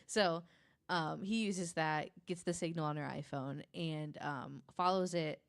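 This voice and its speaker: Low-voiced woman in a dry recording. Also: unhurried, vocal fry, dry recording. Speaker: podcast guest storyteller. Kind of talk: casual, informal storytelling.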